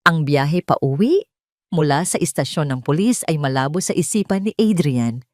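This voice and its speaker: A Filipino woman narrates a dramatic story from a script. Her voice sits fairly low, and the sound is dry and close. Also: lively intonation, normal speed, a little mellow, dry recording.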